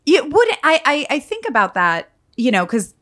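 A woman in her forties hosting a podcast, joking and talking in quick bursts with lots of restarts. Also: sits high. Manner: animated, jokey, trailing restarts. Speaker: podcast host. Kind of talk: casual comedic chat.

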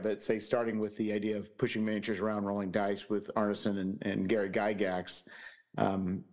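Male podcast host, lowish voice; loose, rambling delivery with lots of fillers. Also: darkish tone. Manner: rambling, frequent "you know" fillers. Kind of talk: casual conversation.

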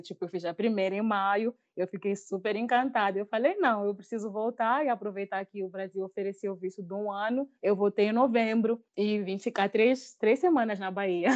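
A woman's voice: medium pitch, a little mellow. A woman of twenty-six from Mozambique with a Mozambican accent, telling personal stories in a casual, chatty way as a podcast guest. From Mozambique, raised in Maputo. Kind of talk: casual personal storytelling in an interview. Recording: slightly dull lo-fi audio.

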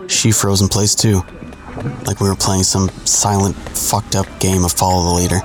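A man's voice: low-pitched, level tone, normal speed, lightly acted. An actor narrating an audio drama in the first person as a wry, sardonic man recalling his misadventures. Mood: tense, ominous.

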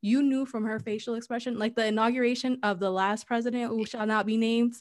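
A woman co-hosting a podcast in casual chat, animated, laughing often and slipping into Spanish phrases. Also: a little high, brisk. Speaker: podcast co-host.

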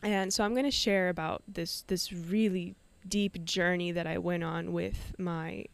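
A woman's voice: lowish voice, fairly even intonation, unhurried, gravelly. A young woman hosting a solo podcast, telling a personal story in an emotional, meandering way and laughing often. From Canada. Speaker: podcast host.

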